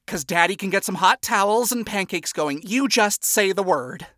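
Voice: mid-range voice, animated, moderate pace, a bit bright, no echo, clean studio sound, voice pushed up, close to an everyday voice. A narrator doing a flamboyant showman devil king. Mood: theatrical. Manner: theatrical, fussing. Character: flamboyant showman king of hell, doting father.

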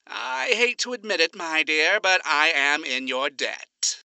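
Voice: medium pitch, sing-song, measured pace, creaky, pushed and bright, clear, resonant tone, no echo, pitched above the performer's own voice, exaggerated voice. Narrator doing smug fancy radio demon guy, super polite and formal, bouncy delivery. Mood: grateful. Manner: formal, genteel phrasing. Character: smug, courtly male radio demon, gentlemanly.

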